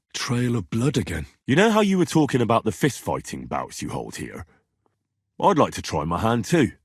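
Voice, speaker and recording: A man playing a plucky, wry young medieval adventurer in video game dialogue, conversational and dry, with a slightly husky voice. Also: mid-range voice, no echo. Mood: intent, investigating, then casual, eager.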